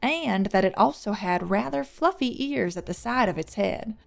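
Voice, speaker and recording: Gravelly-edged woman narrating fiction. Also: medium pitch, animated, normal speed. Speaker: audiobook narrator. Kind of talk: scripted fantasy story narration.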